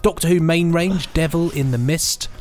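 A man's voice: on the high side, normal speed, darkish tone, faint music behind. A man co-hosting a podcast with playful, casual banter. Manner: playful, jokey. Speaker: podcast co-host.

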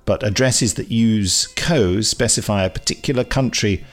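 A man's voice: lowish voice, moderate pace. A middle-aged man hosting a podcast, chatting casually and reading out emails in an enthusiastic, rambling way. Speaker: podcast host.

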